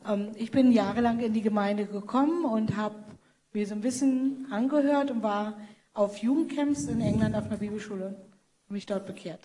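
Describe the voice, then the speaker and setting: A woman working as a missionary to Cambodia, telling her conversion story softly, with her sentences fading away. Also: mid-range voice, normal speed. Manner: soft-spoken, trailing off quietly. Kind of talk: personal testimony in an interview. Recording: faint background noise.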